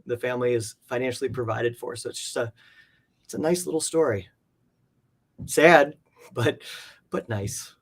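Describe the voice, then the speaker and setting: A man's voice: mid-range voice, moderate pace, long pauses. A man speaking casually as an interview guest, explaining things in a hesitant, circling way. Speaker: guest, comic art collector.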